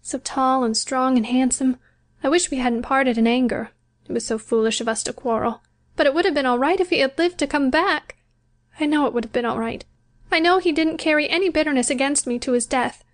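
A gentle young bride with a high, soft voice, patient and resigned, pleading quietly in a storybook reading, as a woman reader puts her on. She sounds a little unlike the reader's own voice. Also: brisk, close to an everyday voice. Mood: soft, wistful. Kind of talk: audiobook character voice.

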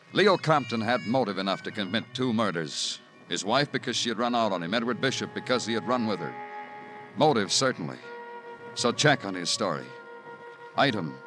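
An actor playing a world-weary police detective in an old radio crime drama, measured, brooding and hard-boiled. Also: male voice, fairly low pitch, a bit bright. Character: world-weary male police detective narrating his own case. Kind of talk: 1940s-50s radio crime drama acting and narration. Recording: old radio recording with prominent music.

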